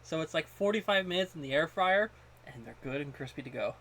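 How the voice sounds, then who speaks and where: A man chatting casually as a podcast co-host, haltingly, often repeating the start of his phrases. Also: fairly high pitch, animated, pushed and bright. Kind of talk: casual chat about food.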